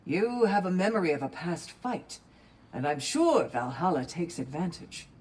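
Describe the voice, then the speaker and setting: A chatty, knowing adviser in a video game. The voice sits fairly high and moves animatedly as he reassures. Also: big pitch swings, normal speed, rough recording. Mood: reassuring. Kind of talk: video game companion dialogue.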